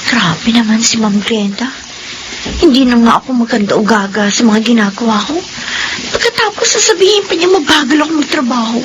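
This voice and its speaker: A woman's voice: a little high, lively intonation, fairly quick, a little hiss or hum, lightly acted, poor audio. A woman playing a meek, overworked, hesitant housemaid with a plaintive manner in a Filipino radio drama.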